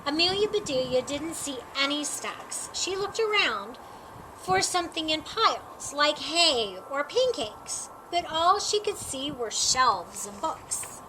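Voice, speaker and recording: A woman reading a children's picture book aloud in an expressive, emphatic storytime style, drawing out key words. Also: sits high, takes it slowly, background noise. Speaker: storytime reader.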